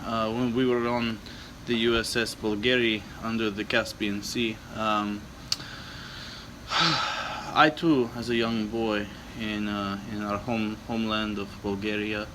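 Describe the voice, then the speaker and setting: A man playing a wistful, solemn Bulgarian recalling his childhood, deadpan and halting, in broken English. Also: lowish voice, background noise, natural voice. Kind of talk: improvised comic in-character storytelling.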